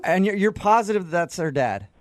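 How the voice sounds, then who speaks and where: A man's voice: high voice, big pitch swings, moderate pace. A man hosting a radio show with casual banter.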